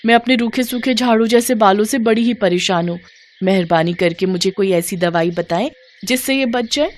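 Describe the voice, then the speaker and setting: A woman's voice: mid-range voice, moderate pace, natural voice. A woman voicing a vain young village woman in a storybook tale, fretful and complaining, with music behind. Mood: distressed, pleading.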